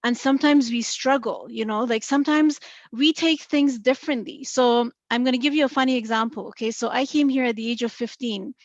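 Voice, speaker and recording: A woman's voice: on the high side, a little fast, dry recording, highs cut off. A woman with a noticeable accent on a virtual panel, explaining earnestly with examples and many fillers over a video call. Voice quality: noticeable non-native accent. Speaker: panellist in finance.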